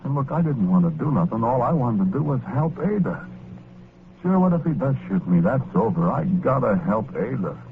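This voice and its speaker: A man's voice: medium pitch, very animated, normal speed, a little hiss or hum. An actor playing a meek, wistful working-class American ghost, telling his story in rambling slang in 1940s radio drama style. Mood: earnest, puzzled. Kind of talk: 1940s radio drama first-person narration. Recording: muffled old radio recording with music. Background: music.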